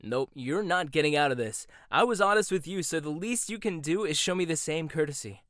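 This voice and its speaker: Prickly young chef guy voiced by a man, bickering, kinda high voice, dry close mic. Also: lively intonation, a little fast, a bit bright, no echo. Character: proud, prickly young male chef rival. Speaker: voice actor. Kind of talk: boyfriend ASMR roleplay.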